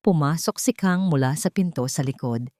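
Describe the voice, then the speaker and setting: Woman, Filipino accent, scripted story narration, romantic drama, lower pitch, dry recording. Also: moderate pace, warm tone, dry recording.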